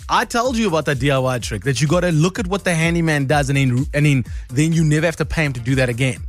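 A joking man in his thirties, co-hosting a radio show, his fairly high voice rising and falling in lively swings. A faint music bed sits under his casual banter. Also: quick pace. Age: 30s. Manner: joking, playful, puts on voices in skits. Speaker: radio host.

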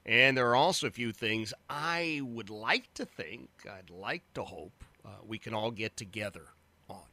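A man's voice: on the low side, lively intonation, a little slow. Emphatic radio talk show host man. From Philadelphia area, US. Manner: loud, emphatic, lots of fillers and restarts. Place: radio studio. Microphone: studio microphone.

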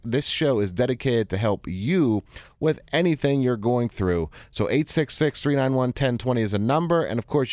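Hoarse guy hosting a radio advice show, earnest, asks straight questions, dead dry studio sound. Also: medium pitch, darkish tone, dry recording. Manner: earnest, coaching with direct questions. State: hoarse, voice strained from a neck injury. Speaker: radio call-in host and therapist.

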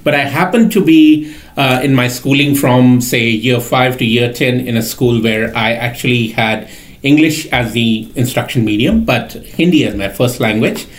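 A middle-aged man talks methodically about himself, filling pauses with "uh". His voice sits at an ordinary pitch for a man. He sounds like a lecturer guest on a podcast. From India. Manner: methodical, with frequent uh fillers. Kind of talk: podcast interview.

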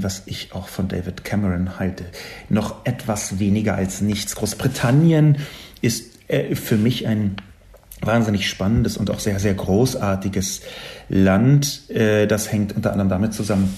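A man from West Berlin, a columnist, giving an argumentative monologue with sharp emphatic peaks and frequent filler sounds. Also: on the low side, a little slow, echoey room. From West Berlin, Germany. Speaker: columnist responding to reader comments. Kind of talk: monologue commentary.

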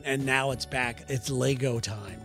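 A man's voice: steady tone, measured pace, vocal fry, fairly bright tone, faint music behind. A man co-hosting a comedy podcast, reading a listener letter aloud and joking around in a teasing way. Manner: teasing, jokey, breaks into falsetto song.

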